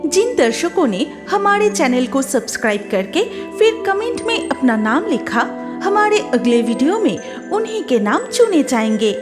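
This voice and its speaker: High, animated woman storyteller. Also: moderate pace. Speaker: storyteller narrator. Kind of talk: scripted children's cartoon narration. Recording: music behind the voice.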